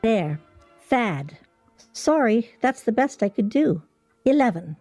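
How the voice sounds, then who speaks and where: Radio play: unassuming, apologetic game player, warm relaxed tone, faint music behind. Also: female voice, on the high side, takes it slowly, no room sound, heavily acted. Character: apologetic, modest female game-night player. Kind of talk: comedic radio play acting.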